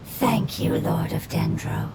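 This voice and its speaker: A woman's voice: deep voice, slow, creaky, heavy background noise, heavily acted. A woman voicing a solemn, devoted elemental creature in a video game, speaking formally and reverently. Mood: grateful, devoted. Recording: loud game music behind the voice.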